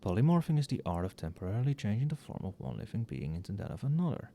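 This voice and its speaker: Male, acting as a friendly, conscientious professor a few drinks in; lowish soft voice, muttering, very varied intonation, for late-night ASMR roleplay. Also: sing-song, quick pace, gravelly. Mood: muttering, absorbed. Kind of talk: casual ASMR roleplay, addressing an unheard colleague.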